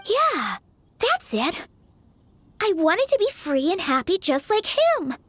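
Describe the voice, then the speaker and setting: A woman's voice: very high voice, huge pitch swings, slow, fairly bright tone, full character voice. A woman voicing a shy, earnest young aspiring bard in a video game, hesitant and apologetic in the way she talks. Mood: wistful, anxious. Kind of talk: video game character acting. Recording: music behind the voice.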